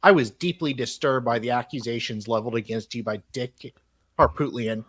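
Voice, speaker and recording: A man's voice: mid-range voice, moderate pace, fairly bright tone. A man co-hosting a live show, reading emails aloud as a dramatic reading with casual asides.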